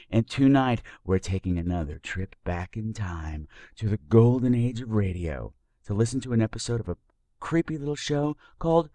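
A man hosting a podcast, delivering a campy scripted introduction in a theatrical, playful, cheeky manner with drawn-out emphasis. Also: deep voice, a little mellow, no room sound, clean studio sound. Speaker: podcast host.

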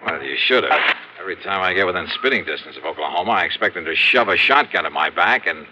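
A man's voice: low voice, expressive, quick pace. An American actor playing a brash, wisecracking male insurance investigator in a 1950s radio drama, with a breezy, blunt manner. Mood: animated, confiding. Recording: muffled, faintly noisy 1950s radio recording.

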